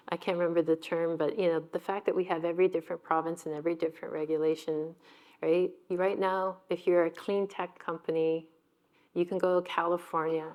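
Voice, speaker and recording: A woman speaking as a panelist at a business event, talking in a brisk, informed way. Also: lowish voice, a little mellow. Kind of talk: panel discussion.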